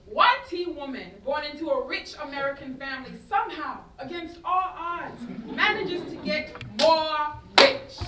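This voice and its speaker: A woman's voice: sits high, sharp tone, heavily acted. A woman playing a brash, sardonic young social media ranter in an emphatic, slangy staged monologue. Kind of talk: theatrical monologue in staged reading. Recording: roomy, distant, faint noise.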